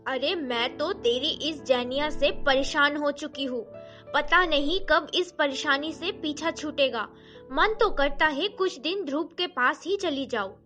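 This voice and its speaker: Woman voicing very high-pitched, bright Indian family-drama women. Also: unhurried, faint music behind. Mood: scornful, complaining. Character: scheming, grumbling mother-in-law and her young daughters-in-law. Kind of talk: melodramatic animated family story dubbing.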